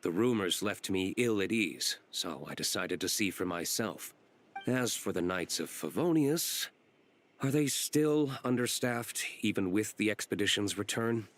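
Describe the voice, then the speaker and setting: A man's voice: on the low side, expressive, a little slow, a little hiss or hum. An actor playing an aloof, composed young nobleman in a video game, reserved and formal in his delivery. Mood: reserved, courteous. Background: game music.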